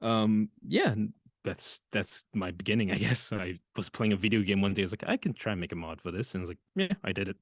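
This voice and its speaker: A man's voice: on the low side, expressive, quick pace, no echo, studio quality. A young man from Auckland with a New Zealand accent, a voice actor guest chatting hesitantly and trailing off. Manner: hesitant, trailing off, quiet laughs. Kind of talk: casual interview chat.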